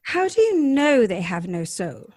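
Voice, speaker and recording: A woman's voice: fairly high pitch, very animated, unhurried, darkish tone, dry recording, clean studio sound. An actress playing an earnest, tender-hearted and naive young lady in an old-time radio drama, questioning and emotive in her delivery. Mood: curious. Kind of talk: live recreation of 1930s radio drama.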